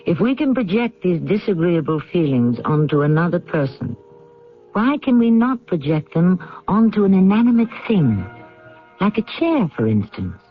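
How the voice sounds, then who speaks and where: A man hosting a radio drama, narrating from a script in a measured, philosophical, theatrical way. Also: high voice, very animated. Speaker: host. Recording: muffled vintage radio, music behind.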